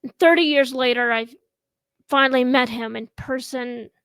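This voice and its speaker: High-pitched woman in her 30s, from russia, talks real slow and halting, dry close sound. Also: fairly even intonation, fairly bright tone, clear, resonant tone, no echo. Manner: slow, halting, with many pauses and fillers. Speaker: host. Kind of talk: casual chat. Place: at home.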